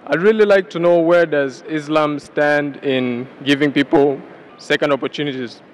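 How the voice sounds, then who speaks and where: A man's voice: on the high side, steady tone, normal speed, a little hiss or hum. A man from Tanzania asking a speaker a question from the audience, polite and earnest. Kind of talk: question from the floor.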